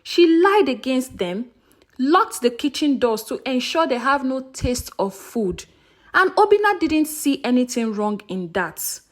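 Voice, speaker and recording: A woman tells a folktale with dramatic expression. Her voice sits very high and moves widely in pitch as she narrates. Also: big pitch swings, unhurried. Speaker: storyteller.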